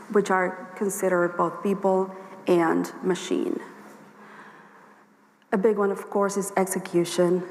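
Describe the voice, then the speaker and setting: A woman with a hoarse voice giving a workshop presentation at a conference, explaining her points steadily and speaking straight to the audience. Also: lowish voice. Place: conference hall. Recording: echoey hall with long reverb, faint ambience.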